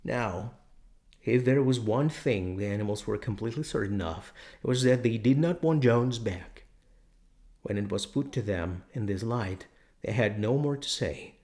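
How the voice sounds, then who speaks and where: A man narrating a radio-drama audiobook in a measured, steady storytelling manner. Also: fairly low pitch. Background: music.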